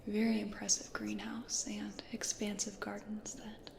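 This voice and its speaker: A woman playing a gentle, anxious, plant-loving American ghost from the 1800s in a soft, hesitant, breathy whisper for an ASMR roleplay. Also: medium pitch, little pitch movement, measured pace. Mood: wistful, gentle. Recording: roomy echo, audible background noise.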